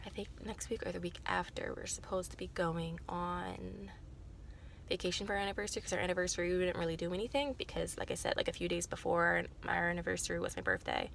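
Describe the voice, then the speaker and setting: A woman's voice: on the low side, expressive, fast talker, vocal fry, a bit bright, a little hiss or hum. A young woman with a cold, sounding congested and nasal, chatting casually to camera in a rambling way full of fillers and self-corrections. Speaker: vlogger.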